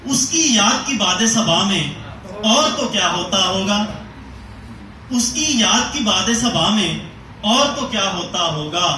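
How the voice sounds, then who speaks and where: A man giving a dramatic Urdu poetry recitation in a theatrical, deliberate way, pausing and repeating lines. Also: sits high, expressive, bright, forceful tone. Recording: echoing hall, distant mic, audience noise.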